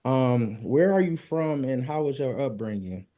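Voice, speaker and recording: Male host, soft mellow tone, affirming church-style phrasing, conversational interview delivery. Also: mid-range voice, lively intonation, a little slow. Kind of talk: faith-based interview chat. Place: remote online call.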